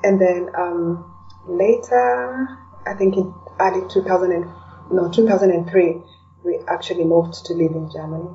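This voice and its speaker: A woman with a Kenyan accent gives casual interview answers. Her voice is lowish and very mellow, with some hesitancy. She sounds distant from the mic. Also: fairly even intonation, normal speed, lo-fi sound, slight background noise. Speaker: podcast guest, blogger and podcaster.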